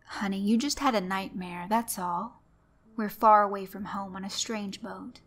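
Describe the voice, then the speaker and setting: A woman voicing a soft-spoken middle-aged father who talks in calm, soothing tones. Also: mid-range voice, a little slow.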